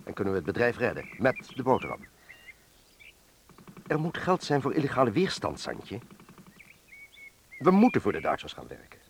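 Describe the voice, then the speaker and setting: Low-voiced, earnest factory director. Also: male voice, wildly swooping pitch, vocal fry, a little hiss or hum, exaggerated voice. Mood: persuasive. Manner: measured, explanatory, earnest. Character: earnest male factory director defending collaboration in wartime Netherlands. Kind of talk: Dutch radio drama acting.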